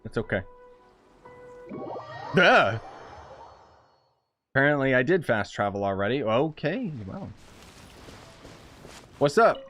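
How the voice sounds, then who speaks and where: A man's voice: mid-range voice, big pitch swings, normal speed, pauses often, fairly bright tone. A man giving casual, rambling gameplay commentary in an informal way. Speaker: gaming YouTuber. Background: game music.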